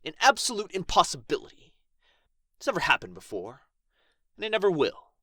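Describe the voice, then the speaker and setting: A man's voice: high voice, huge pitch swings, brisk, sharp tone, no echo, studio quality, exaggerated voice. A man playing a proud, pompous male dragon in a fantasy ASMR roleplay, with archly formal, theatrical phrasing. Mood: scornful, haughty. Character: proud, haughty injured male dragon, grudgingly grateful, teasingly possessive.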